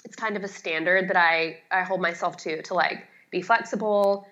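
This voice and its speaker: A woman's voice: lowish voice, normal speed, pushed and bright, muffled recording. A middle-aged woman talking casually as an expert guest in an interview, with a chatty, emphatic, self-deprecating way of explaining. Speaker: guest, home organizing expert.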